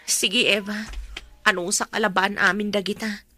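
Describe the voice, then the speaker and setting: A woman's voice: mid-range voice, little pitch movement, moderate pace, sharp tone, soft background music, close to an everyday voice. A woman with an Ilocano Filipino accent acting a weary, regretful mother in a radio drama, pleading and emotional. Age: adult. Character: Cora, weary regretful mother who left her children.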